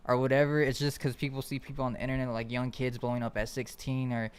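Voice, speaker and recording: A man's voice: mid-range voice, level tone, smooth. A man in his twenties chatting casually on a podcast, slangy and loose, with lots of "like". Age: 20s. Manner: slangy, fast, repeats "like" often.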